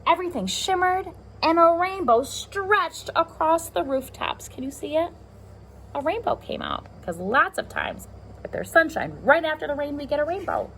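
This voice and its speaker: A woman reading a picture book aloud to young children, expressive, with chatty asides to her listeners. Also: sits high, normal speed, slight background noise, rough recording. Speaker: pre-K teacher reading aloud.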